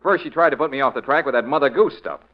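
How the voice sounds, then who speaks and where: Fast-talking wry detective played by a man, old radio. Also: mid-range voice, natural voice. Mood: explaining, confident. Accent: American. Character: cool, wry male private detective. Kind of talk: 1940s American radio detective drama acting. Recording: muffled old radio recording with noise.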